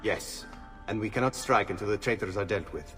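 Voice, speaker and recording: A man's voice: fairly low pitch, brisk, a bit bright, close to an everyday voice. An actor playing a courteous, earnest male leader of thieves with an Italian accent in a video game. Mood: concerned. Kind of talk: dramatic video game cutscene acting. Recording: music behind voice.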